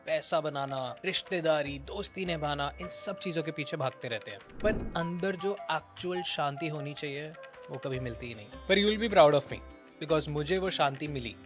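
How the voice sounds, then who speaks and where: A man with an Indian accent hosting a podcast, talking to listeners in an emphatic, repetitive way. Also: on the high side. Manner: emphatic, repetitive phrasing, mixing Hindi with English phrases. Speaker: podcast host. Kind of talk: energetic talk to camera, promoting and introducing episode.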